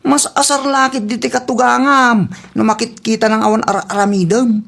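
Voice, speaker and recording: A woman's voice: a little high, level tone, moderate pace, gravelly, fairly bright tone. A woman playing a nagging, advice-giving acquaintance in a comedy drama, speaking with an Ilocano accent. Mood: insistent advice.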